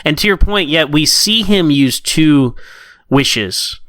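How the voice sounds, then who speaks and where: A man's voice: a little high, lively intonation, slow, bright, forceful tone, dry recording. A man co-hosting a podcast, casually critiquing stories and acting out imagined thoughts as he retells them. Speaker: podcast co-host. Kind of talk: casual, conversational story critique.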